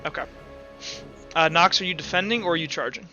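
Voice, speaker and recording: A man acts as game master for a casual tabletop session. His voice sits fairly high and is very sharp and forceful. The recording is somewhat dull and lo-fi. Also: a little fast. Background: music.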